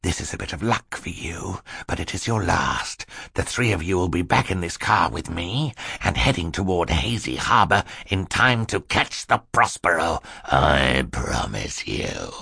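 A man's voice: lowish voice, sing-song, unhurried, a bit bright, dry recording, clean studio sound, voice pushed up, exaggerated voice. A man narrating an audiobook, voicing a scheming villain who poses as a meek, fluttery, apologetic assistant. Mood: menacing. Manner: hissing menace switching to fluttery, falsely apologetic politeness. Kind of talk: audiobook character acting.

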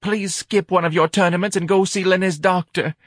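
A man's voice: high voice, fairly even intonation, brisk, husky, no room sound, clean studio sound, voice pushed up. A man voicing a self-righteous, melodramatic middle-aged wife in an audiobook, nagging and reproachful. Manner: indignant, reproachful, dramatic.

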